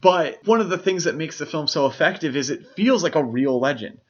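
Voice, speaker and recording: A man's voice: on the high side, lively intonation, brisk, husky. A man co-hosting a podcast, talking through films in a casual, explanatory way with frequent filler phrases. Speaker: podcast co-host. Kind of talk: casual film discussion. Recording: dull, lo-fi podcast audio.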